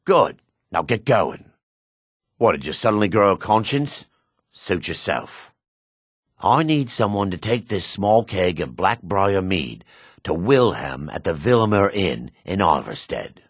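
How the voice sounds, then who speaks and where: A man voicing a gruff, wary male dark elf townsman in a fantasy video game, with a low, husky voice. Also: very animated, unhurried, no echo, clean studio sound, heavily acted. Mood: indignant, then sly.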